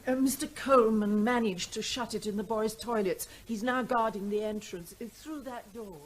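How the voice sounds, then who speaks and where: An actress playing an efficient nurse who reports to a doctor in an old radio drama. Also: female voice, on the high side, moderate pace, lightly acted. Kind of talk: 1970s radio drama acting.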